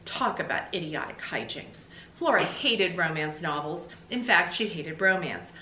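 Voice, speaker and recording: A middle-aged American woman reading a children's story aloud in an animated, emphatic, explanatory way, like a teacher. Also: on the low side, unhurried. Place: small indoor room with bookshelves. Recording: roomy echo, faint background noise.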